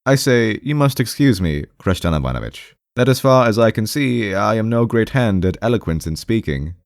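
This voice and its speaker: A man's voice: on the low side, big pitch swings, moderate pace, no echo, clean studio sound, voice pushed up. A man voicing a timid, defensive male clerk in an audiobook, hesitant, repetitive and half-aggrieved, with a strained, raised voice. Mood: half-aggrieved, stammering. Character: timid, defensive, rambling male clerk visiting his doctor. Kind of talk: audiobook character voice.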